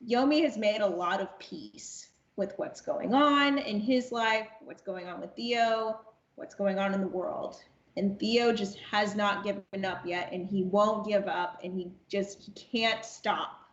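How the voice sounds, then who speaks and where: A woman speaking as a podcast guest in reflective interview talk, deliberate and emphatic, repeating phrases for stress. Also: mid-range voice, reverberant, muffled recording. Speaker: audio drama cast member, podcast guest.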